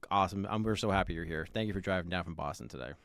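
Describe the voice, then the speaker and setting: A man hosts a company podcast in a low, deep voice. He speaks with enthusiasm and often repeats his words as he goes. The recording is dry and close, with no room echo. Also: very fast, a bit bright, clear, resonant tone. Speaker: podcast host. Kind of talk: podcast interview hosting.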